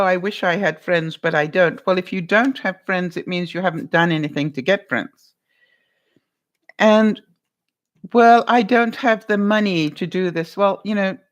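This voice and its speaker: A woman vlogger chatting casually on a livestream, answering viewers by name, lively and quick to laugh, with a loud voice that carries. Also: on the low side. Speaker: vlogger answering live chat.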